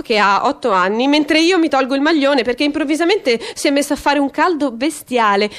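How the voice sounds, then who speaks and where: Theatrical woman reading a dramatic monologue. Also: on the high side. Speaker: stage reader performing a literary monologue.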